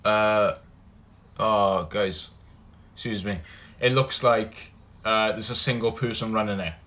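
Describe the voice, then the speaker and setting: A young Welsh man hosting a casual livestream, greeting viewers by name and chatting loosely, loud when he greets people and low and mumbled when he muses. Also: fairly even intonation, slow. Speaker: livestream host. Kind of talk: casual livestream chat reading comments.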